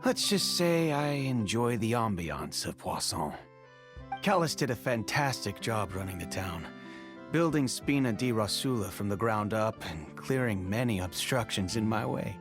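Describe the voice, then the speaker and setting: Man acting breezy, animated town guard. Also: fairly low pitch, natural voice. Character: easygoing, wry male town guard, comfortably lazy. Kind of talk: video game voice acting, dramatic dialogue.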